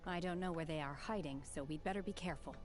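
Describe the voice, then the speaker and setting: A woman voicing a calm, serious female warrior in a video game, speaking in a composed, formal way. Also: deep voice, quick pace, close to an everyday voice. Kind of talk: game dialogue. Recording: game audio with music.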